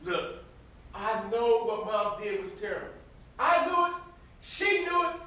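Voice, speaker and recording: Man acting an exasperated young brother, very high-pitched. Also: big pitch swings, unhurried, bright, forceful tone, heavily acted. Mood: pained, pleading. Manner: indignant, pleading. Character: exasperated young brother defending his family. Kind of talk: amateur stage play acting. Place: indoor theatre stage. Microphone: distant camera microphone. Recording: distant, very echoey stage recording.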